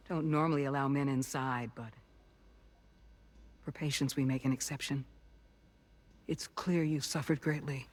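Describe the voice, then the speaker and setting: A man playing a composed, kindly doctor in a video game drama, speaking in a measured, formal, reassuring way. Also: medium pitch, breathy edge. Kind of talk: dramatic game cutscene acting.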